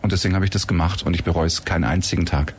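A man's voice: low-pitched, fairly even intonation, very fast, slight background noise. A man in his fifties, an actor at a youth theater, chatting in a talkative, joking, rambling way. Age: 50s. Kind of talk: casual radio chat.

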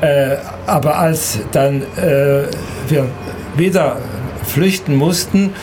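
An elderly man who grew up in Serbia and Hungary, recounting his life in an interview in a halting, hesitant way with repeated words. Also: a little high, background noise. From Danube Swabian from Serbia and Hungary. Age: 70s or older. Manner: halting, hesitant, repeats words, often ends with a questioning tag.

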